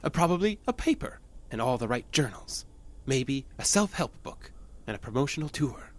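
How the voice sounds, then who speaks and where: An actor in an audio drama playing an obsessive, unstable male patient with a halting, nervous, insistent manner. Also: medium pitch, very animated, close to an everyday voice. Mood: sly, menacing. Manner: halting, nervous, insistent, stammering under pressure.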